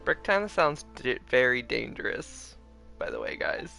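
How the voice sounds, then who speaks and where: A male game streamer with a bright, forward voice and an unhurried pace. He chats casually and playfully, rambling in a giggly way over faint music. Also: mid-range voice, huge pitch swings, gravelly. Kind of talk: casual livestream chat.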